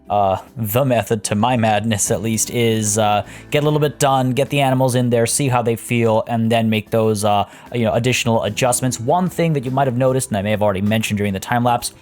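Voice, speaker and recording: A man hosting a let's play, rambling through his building steps and chatting with viewers, a little hoarse. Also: mid-range voice, brisk, a little mellow, faint music behind. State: losing his voice. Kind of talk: casual gameplay commentary.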